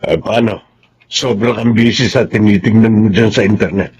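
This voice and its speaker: A man's voice: on the low side, fairly even intonation, moderate pace, a little mellow, highs cut off, a little hiss or hum, natural voice. A man with a Filipino accent playing a stern, resentful middle-aged father in a radio drama. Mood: brusque.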